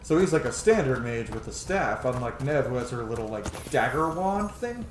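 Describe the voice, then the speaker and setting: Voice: mid-range voice, sing-song, normal speed, slight background noise. A gamer giving casual, enthusiastic commentary while playing, informal and sweary. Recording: roomy echo, game music behind. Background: game music.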